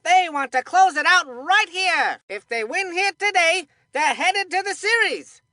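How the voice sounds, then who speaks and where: A woman voicing an excitable, folksy, opinionated kid sports commentator in a scripted cartoon baseball game. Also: very high voice, measured pace, no room sound, clean studio sound, exaggerated voice. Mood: eager, opinionated. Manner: folksy, emphatic, addresses a broadcast partner.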